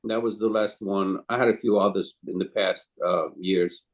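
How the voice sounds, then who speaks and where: Older Italian-accented man, low, rambling. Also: steady tone, rough recording. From Calabria, Italy. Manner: hesitant and rambling, with many pauses, fillers and non-native phrasing. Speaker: guest, chef and caterer. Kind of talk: casual interview storytelling.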